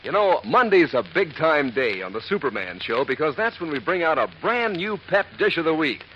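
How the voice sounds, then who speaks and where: A man delivering a folksy, peppy breakfast commercial in the manner of a 1940s radio announcer. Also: fairly high pitch. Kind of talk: 1940s radio advertising pitch. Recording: muffled, hissy 1940s radio recording.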